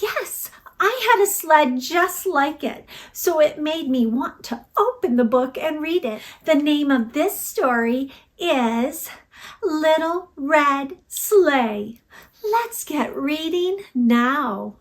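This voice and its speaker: Female storybook reader for young kids, somewhat high voice, expressive and very unhurried. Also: no room sound. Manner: expressive, deliberate, simple phrasing for children. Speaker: host of children's read-alouds. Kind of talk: storybook read-aloud for young children.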